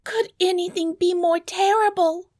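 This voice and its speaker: A woman's voice: extremely high pitch, steady tone, measured pace, darkish tone, dry recording, studio quality, pitched above the performer's own voice. A woman voicing a dramatic, distressed young storybook princess with plaintive, theatrical exclamations. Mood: anguished. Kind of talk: storybook character voice.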